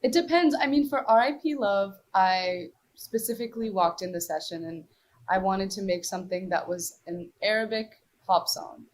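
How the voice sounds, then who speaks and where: A woman's voice: medium pitch, moderate pace. A young woman from Morocco who is a singer-songwriter, answering interview questions casually with many fillers and restarts.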